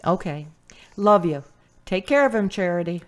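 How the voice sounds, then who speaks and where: A doting sister, played by a woman, chirpy and affectionate, with a low voice that swoops around a lot. She sounds a bit off the mic. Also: expressive, moderate pace, lightly acted.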